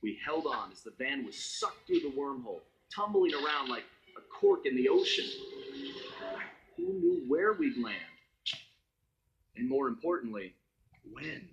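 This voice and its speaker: An actor playing a cool, wry gang-leader thief, telling his adventures as dramatic narration in an animated game cutscene. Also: medium pitch, unhurried, pauses often, muffled recording, a little hiss or hum. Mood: tense, concerned. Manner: dramatic, conspiratorial storytelling.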